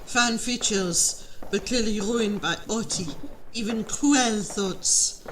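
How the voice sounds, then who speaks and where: Judgmental gossiping governess. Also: female voice, fairly high pitch, takes it slowly, a little hiss or hum, poor audio. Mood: appraising. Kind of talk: dramatic radio play acting.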